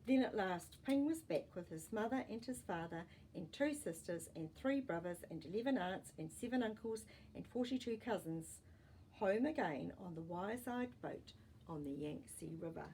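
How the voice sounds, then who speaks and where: A woman storyteller reading a children's story expressively, with deliberate pauses and dramatic emphasis. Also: medium pitch, expressive, mellow, a little hiss or hum, poor audio. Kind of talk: expressive storybook narration with asides to young listeners.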